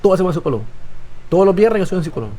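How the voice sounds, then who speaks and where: Fast-talking Honduran man, fairly high voice. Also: sing-song, a crowd in the background. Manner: emphatic, repeats phrases, frequent tag questions. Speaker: interview guest, economist. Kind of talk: casual conversational interview.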